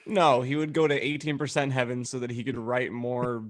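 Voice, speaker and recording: Male podcast co-host, average pitch, casual and teasing, quick with jokes. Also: steady tone. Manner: teasing, joking. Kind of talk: casual panel banter.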